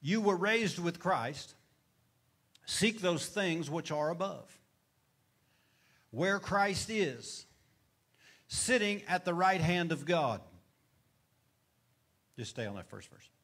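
High-voiced middle-aged preacher man. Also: expressive, slow, long pauses, fairly bright tone. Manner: preaches with call-and-response questions to the congregation. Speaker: pastor. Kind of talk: sermon. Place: church stage with brick wall. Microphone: handheld mic.

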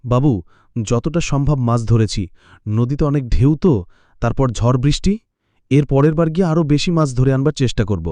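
An actor voicing village men in a Bengali fishing cartoon. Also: male voice, medium pitch, a little fast, mellow, no room sound, lightly acted. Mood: humble.

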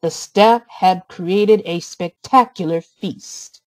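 A woman narrating a children's storybook in an expressive, deliberate read-aloud manner. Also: lowish voice, dry recording.

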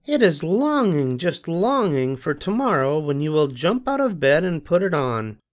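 A patient grown rabbit who soothes a little one at bedtime, his voice soft and lifting warmly through each line, as an older man puts him on. He speaks slowly and gently, lingering on every phrase. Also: on the high side, very animated, voice pushed up. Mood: soothing.

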